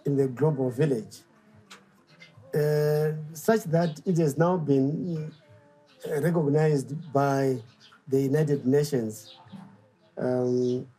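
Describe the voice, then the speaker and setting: A middle-aged man speaks in an unhurried, reflective way, pausing often and filling gaps with soft hesitations. His voice is mellow and warm, and faint mbira music plays quietly behind him. He tells his story as a musician and instrument maker in a documentary interview. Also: a little high, rough recording. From Zimbabwe. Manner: slow and reflective, with pauses and fillers.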